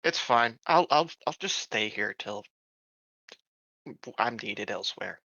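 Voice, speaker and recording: Dude in a tabletop rpg session, super bright sharp voice, pitch jumps around, casual. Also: male voice, animated, takes it slowly, dry recording, muffled recording. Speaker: player in a tabletop roleplaying game. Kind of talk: casual game chat.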